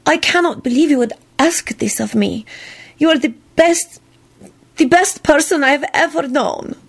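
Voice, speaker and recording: A woman's voice: high-pitched, moderate pace, gravelly, pitched above the performer's own voice. A woman voicing a sweet, emotional young foreign woman in love in an audio story, with careful, slightly unidiomatic phrasing. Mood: sweet, moved. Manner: careful, non-idiomatic phrasing without contractions.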